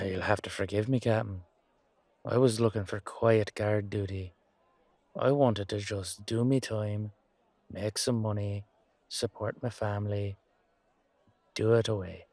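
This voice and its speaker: Man playing a slow-talking, weary knight guardsman. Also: fairly low pitch, darkish tone, no echo. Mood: reflective, easygoing. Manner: rambling, colloquial, musing with hesitant pauses. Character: weary, loyal fantasy knight guardsman, curious and down-to-earth. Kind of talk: roleplay audio drama monologue addressed to a partner.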